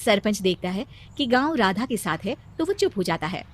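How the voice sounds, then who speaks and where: A woman's voice: medium pitch, very animated, brisk, dry recording. A woman narrating a scripted story as the storyteller.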